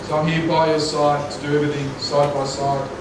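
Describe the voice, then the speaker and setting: Loud groom in an echoey hall. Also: male voice, a little high, flat delivery, a little fast, far from the mic, very noisy. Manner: heartfelt, with a few light jokes. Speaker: groom giving wedding speech. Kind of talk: prepared wedding speech. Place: wedding reception hall.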